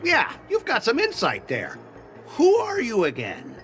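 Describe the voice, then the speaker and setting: An actor playing a pompous, blustering young corporate manager in a video game. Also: male voice, extremely high pitch, wildly swooping pitch, measured pace, a bit bright, soft background music, full character voice. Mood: smug. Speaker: company manager.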